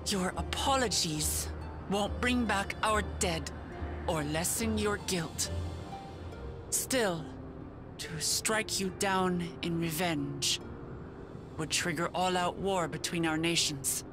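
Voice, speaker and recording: Young game heroine ruler, earnest n firm, takes her time, loud score under her. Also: fairly low pitch, slow, music in the background. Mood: solemn resolve. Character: earnest, resolute young female ruler of a nation. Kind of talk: dramatic video game acting.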